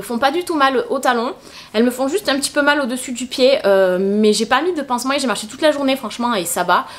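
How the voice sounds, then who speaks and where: A woman with a stuffy, congested voice from a cold talks quickly and at a slightly raised pitch. She rambles through a casual haul video, stopping often to hesitate and restart. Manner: chatty, rambling with frequent hesitations. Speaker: vlogger. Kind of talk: casual shopping haul chat.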